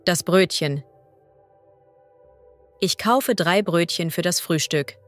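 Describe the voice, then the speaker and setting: Woman reading vocabulary very slowly. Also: lowish voice, soft background music. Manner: slow, clear and deliberate. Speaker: language teacher. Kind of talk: reading vocabulary and idioms aloud.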